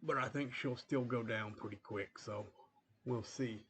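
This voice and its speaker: A man hosting a train-game playthrough in a rambling, relaxed way, full of fillers, a little sniffly from a coming cold. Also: medium pitch, unhurried, slight background noise. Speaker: gaming channel host. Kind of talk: casual gameplay commentary.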